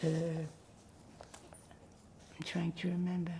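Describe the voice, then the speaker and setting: An older woman whose first language is Yiddish, recalling her family in an oral history interview, pausing often to search for words. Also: lowish voice, monotone, creaky, dark tone, slight background noise. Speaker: Holocaust survivor giving testimony.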